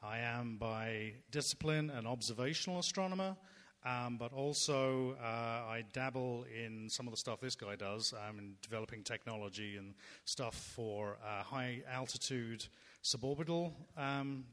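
A man's voice: mid-range voice, measured pace, fairly bright tone. Man from England in echoey hall. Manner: hesitant, many ums. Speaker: panelist. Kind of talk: panel self-introduction. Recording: reverberant hall.

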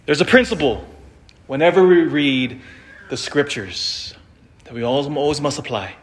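Priest preaching, measured and emphatic man. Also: a little high, a little hiss or hum. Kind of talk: scripture reading and conversational homily.

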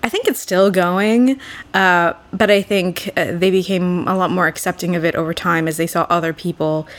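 A woman's voice: on the low side, fairly even intonation, moderate pace. A young woman from Boston, a podcast guest, talking in a thoughtful and earnest way. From Boston, USA. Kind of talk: casual podcast conversation.

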